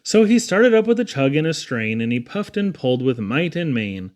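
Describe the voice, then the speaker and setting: A man reading children's poetry aloud in an expressive, theatrical storytelling style with dramatic emphasis. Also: medium pitch, normal speed. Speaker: reader.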